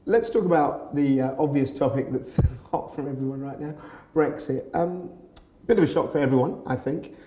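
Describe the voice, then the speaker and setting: A man's voice: fairly high pitch, moderate pace, dark tone, echoey room, a little hiss or hum. A man interviewing a guest in a chatty, jokey way. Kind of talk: interview questions.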